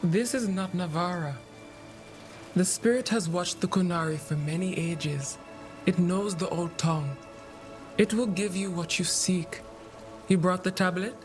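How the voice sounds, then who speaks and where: An actor, a man, playing a solemn, cryptic seer in a fantasy video game, speaking in measured, oracular phrases. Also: sits high, soft background music. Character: solemn Qunari seer, vessel for spirits, cryptic and grave.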